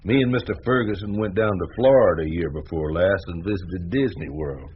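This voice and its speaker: An actor playing a folksy, plainspoken small-town American Westerner in a radio drama, talking in a rambling, homespun way. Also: male voice, low-pitched, a little fast, lo-fi sound, slight background noise, natural voice. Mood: earnest, enthusiastic.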